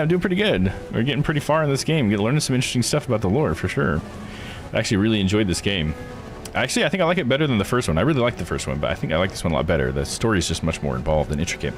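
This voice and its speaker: A man live-streaming a visual novel, reading its story aloud and chatting casually with viewers. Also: lowish voice. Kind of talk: visual novel playthrough, narration and casual chat. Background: game music.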